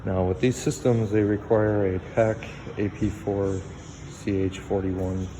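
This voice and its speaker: Low-pitched man giving a casual how-to. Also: level tone, unhurried, noisy background. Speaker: car audio installer showing how-to. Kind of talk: casual hands-on tutorial talk.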